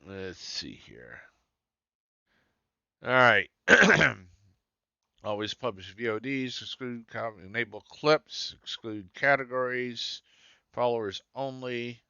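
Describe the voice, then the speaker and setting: A man's voice: mid-range voice, slow pace, vocal fry, long pauses, bright, forceful tone, clear, resonant tone, no echo, dull audio. A man with a scratchy voice hosting a live game stream, muttering to himself as he searches through settings. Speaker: gaming streamer.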